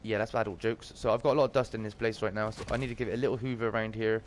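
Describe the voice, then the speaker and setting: A British man with a slightly croaky, just-woken voice, hosting a casual livestream and greeting viewers chummily. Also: on the low side, fairly even intonation, very fast. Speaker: live streamer and host.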